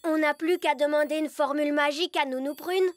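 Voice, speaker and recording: A woman's voice: high-pitched, steady tone, fairly quick, dry recording, close to an everyday voice. A woman voicing an earnest, handy little elf boy in a French children's cartoon dub, boyish and chirpy. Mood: helpful. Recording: children's music behind the voice.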